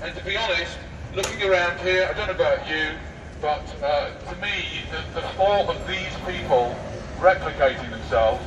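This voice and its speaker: High-pitched British man heckling through a megaphone. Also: moderate pace, dull audio. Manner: provocative, mocking, rhetorical questioning. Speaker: street speaker debating with a megaphone. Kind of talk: combative public street debate. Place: busy outdoor city street. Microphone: megaphone picked up by a distant camera mic. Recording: megaphone outdoors, distant mic, street noise.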